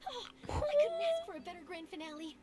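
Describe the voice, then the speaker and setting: A woman's voice: very high-pitched, sing-song, a little fast. A woman voicing an eager young female newspaper reporter in a video game, gushing with enthusiasm. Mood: delighted.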